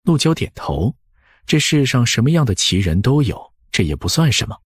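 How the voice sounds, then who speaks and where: A man's voice: medium pitch, very animated, normal speed, slightly rough, no room sound, studio quality. A man narrating an audiobook story from a script.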